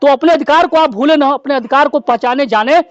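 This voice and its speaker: Male activist orator, high voice, explanatory public address, muffled dry recording. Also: fairly quick, dry recording. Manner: didactic, explanatory, with rhetorical questions. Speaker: activist speaker. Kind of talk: public campaign speech.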